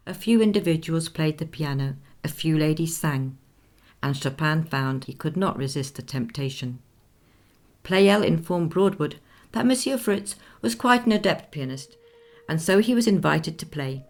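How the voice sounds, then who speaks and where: Radio drama narrator: poised, measured, very low register with some gravel, faint music underneath. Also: female voice, full character voice. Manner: measured, formal storytelling. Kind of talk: scripted radio play narration.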